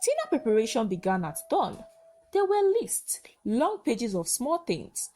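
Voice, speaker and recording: A woman narrating a scripted folktale in short, measured, dramatic phrases. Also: on the high side, very animated, dark tone, faint music behind. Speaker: storyteller, narrator.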